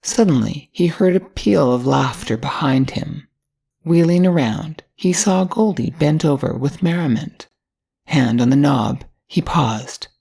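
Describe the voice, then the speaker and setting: Older woman, story narrator, deep voice, raspy, reading from a script. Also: lively intonation, measured pace, dark tone.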